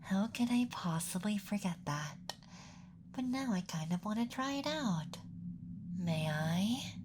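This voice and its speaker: A man playing a playful, eager young alien assistant in an ASMR roleplay, whispering in a gentle, coaxing way close to the microphone. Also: high-pitched, expressive, measured pace, slight background noise. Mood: curious, teasing.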